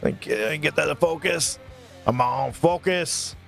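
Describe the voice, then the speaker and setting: A man's voice: a little high, a little slow, gravelly, faint music behind. A man in his mid-thirties chatting casually on a live stream, rambling between topics and thanking viewers by name. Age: 30s.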